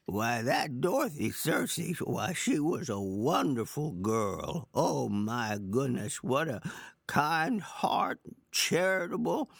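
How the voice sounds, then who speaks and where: Man doing a slow, husky, folksy reminiscer. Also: mid-range voice, dry recording, full character voice. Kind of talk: comic character monologue.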